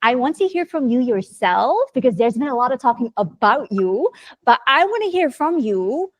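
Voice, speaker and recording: Higher-pitched woman hosting an interview. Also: very animated, moderate pace, no echo. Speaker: interviewer and host. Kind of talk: conversational video interview.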